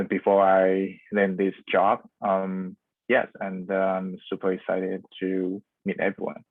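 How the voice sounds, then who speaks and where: A young man introduces himself in a hesitant, halting way. His speech is slow and fairly monotone, heard through a thin, phone-like video call. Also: on the low side, no echo. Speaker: alumni panelist. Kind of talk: casual self-introduction.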